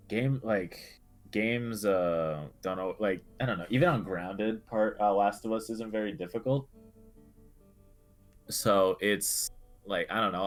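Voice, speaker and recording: A man co-hosting a casual chat about video games, speaking in a halting, understated way. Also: lowish voice. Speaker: podcast co-host. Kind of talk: casual video game discussion. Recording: music playing behind the voice.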